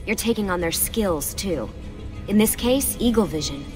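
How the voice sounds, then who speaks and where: Game role: actress as reassuring female mentor, measured, medium pitch, reverberant room, soft music behind. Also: animated, natural voice. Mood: explaining. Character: calm, reassuring young woman assassin, a mentor. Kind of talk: video game cutscene acting. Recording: reverberant hall, music underneath.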